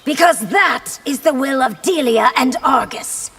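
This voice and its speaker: An actress playing a proud, fierce young empress in a video game, commanding and indignant. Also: female voice, high-pitched, animated, a little slow, loud music behind the voice.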